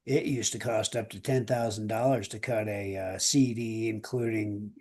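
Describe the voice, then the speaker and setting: A man's voice: on the low side, fairly even intonation, normal speed, a little mellow. A middle-aged American man, a musician, telling anecdotes in a loose, casual conversational way.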